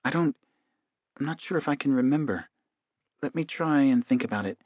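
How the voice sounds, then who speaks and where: Man voicing a soft, hesitant male defendant. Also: medium pitch, animated, no room sound, narrow, tinny audio, lightly acted. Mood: hesitant recalling. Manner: soft, halting, half-distracted. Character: hypnotized male defendant, meek, earnest, hesitant.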